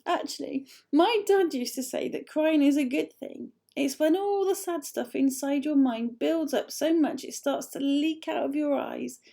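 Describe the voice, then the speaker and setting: A woman's voice: high-pitched, unhurried, creaky, few pauses, warm tone, pitched above the performer's own voice. A woman voicing a brave young girl who speaks earnestly and firmly. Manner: earnest, firm.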